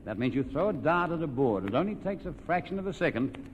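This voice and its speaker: Lively Australian radio quiz host, echoey hall. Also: male voice, a little high, quick pace, highs cut off. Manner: chatty showman, folksy. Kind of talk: old-time radio quiz show banter and sponsor plugs. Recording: vintage radio recording, echoey hall, background noise.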